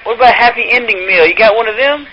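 A man's voice: high-pitched, fast, bright, forceful tone. A man making a prank phone call, pushy, badgering and mock-indignant. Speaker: prank caller. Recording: muffled, noisy phone line.

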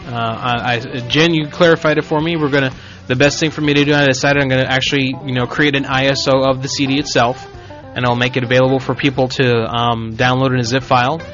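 A man's voice: mid-range voice, flat delivery, fast, few pauses, a bit bright, faint music behind. A man from Puerto Rico with a Puerto Rican accent, hosting a casual internet radio show, rambling and joking with frequent restarts. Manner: rambling, self-correcting, joking. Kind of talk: casual talk radio chat. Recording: dull lo-fi stream audio.

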